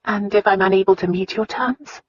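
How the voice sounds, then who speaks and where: A woman voicing a spirited, candid young wife with an earnest, expressive way of talking in a romance audiobook. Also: medium pitch, brisk, no echo, highs cut off, voice pushed up, lightly acted. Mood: worried, hushed.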